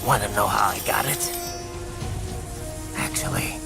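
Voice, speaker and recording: An actor playing a grim cyborg swordsman in a video game, a born killer, with a gravelly, breathy growl and slow, menacing delivery. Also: fairly low pitch, huge pitch swings, over music, heavily acted. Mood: savage taunting. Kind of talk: dramatic video game cutscene acting.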